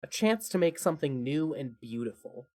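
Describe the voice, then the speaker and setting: A narrator reading a scripted fan fiction podcast in an expressive, measured storytelling manner. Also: medium pitch.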